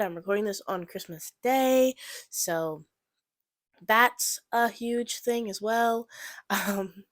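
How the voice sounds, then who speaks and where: A teenage girl hosts a solo podcast in a lowish, gravelly voice, her nose a little stuffy and her throat slightly sore. She rambles along in an earnest, encouraging way. The sound is close and dry. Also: no echo. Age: teens. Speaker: podcast host. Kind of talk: solo casual podcast talk.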